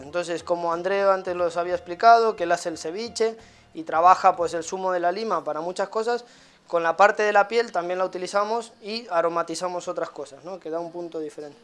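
A man in his thirties, a chef giving a casual cooking demonstration in a halting way with frequent tag questions. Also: high voice, a bit bright. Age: 30s. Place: small tiled restaurant kitchen.

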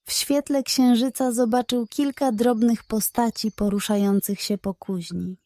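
A woman narrating a scripted children's bedtime story in a measured, expressive manner. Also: a little high, dry recording, studio quality.

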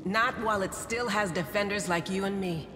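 An earnest, defiant woman knight played by an actress in a fantasy game, encouraging in tone. Her medium-pitched voice rings in a hall over clear ambient noise. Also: moderate pace, natural voice. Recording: echoey hall with noisy ambience.

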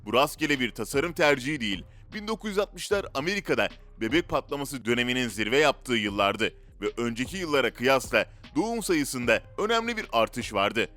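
A man's voice: medium pitch, very animated, a little fast, hardly any pauses, bright, forceful tone. A man reads scripted narration in a dramatic, rhetorical storytelling way. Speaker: narrator of a list video. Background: background music.

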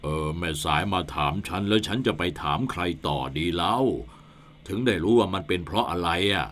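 Village headman, very low voice, grumbling, defensive, worried, radio drama. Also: fairly quick, fairly bright tone, slight background noise. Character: worried middle-aged male village headman. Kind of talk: Thai radio ghost drama.

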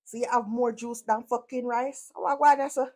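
A woman's voice: a little high, fairly even intonation, moderate pace, a little mellow. A woman from Jamaica with a Jamaican accent gives sarcastic reaction commentary, blunt and full of profane patois.

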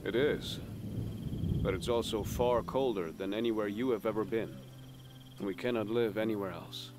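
An actor playing a weary, grim assassin in an audio drama, speaking in a serious, measured, admonishing way. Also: male voice, fairly low pitch, noisy background, natural voice. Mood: grim warning. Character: weary, grim male assassin, bluntly cautionary.

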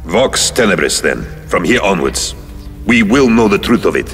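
An actor playing a grim, distrustful battle-scarred space warrior in an audio drama, with a husky voice and a terse, stubborn manner. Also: male voice, sits low, very animated, moderate pace, fairly bright tone, faint music behind, heavily acted. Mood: terse, resolute. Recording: long hall-like reverb.

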